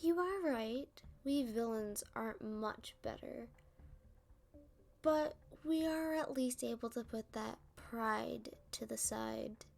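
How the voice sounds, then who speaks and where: Sassy female supervillain for ASMR roleplay, acted by a woman: high-pitched, unhurried, smugly taunting. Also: gravelly, darkish tone. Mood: smug, mocking. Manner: teasing, taunting, drawn-out delivery. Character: playful, sassy, smug young female supervillain.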